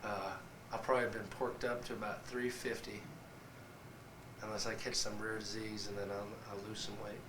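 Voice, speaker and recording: A man's voice: lowish voice, flat delivery, moderate pace, recorded from a distance. Scratchy-voiced young man cracking jokes. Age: young adult. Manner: wisecracking, boastful. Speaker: college football player. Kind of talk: joking interview to camera. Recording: echoey room, off-mic, ambient noise.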